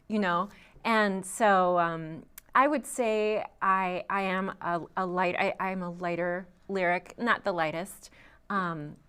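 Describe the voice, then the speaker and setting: A woman's voice: medium pitch, a bit bright, clean tone. A young adult woman, a trained singer, answering interview questions thoughtfully with frequent ums and restarts. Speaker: guest vocalist.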